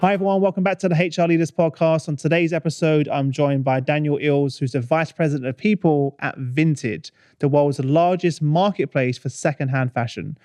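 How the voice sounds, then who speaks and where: A man's voice: on the high side, moderate pace, hardly any pauses, a little mellow, no room sound. A man hosting a studio podcast, upbeat and chatty, laughing often. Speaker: podcast host. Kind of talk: podcast interview.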